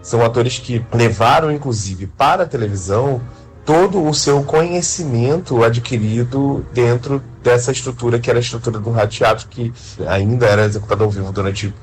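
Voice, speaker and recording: A man with a Brazilian accent explaining his field in an interview, haltingly, often adding little tag questions. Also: mid-range voice, faint music behind. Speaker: interviewed radio drama creator.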